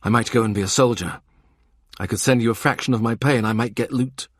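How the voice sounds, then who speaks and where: A man reading a novel aloud, voicing a battered young man in a low, grim, measured way. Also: no echo, studio quality, natural voice. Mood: plain.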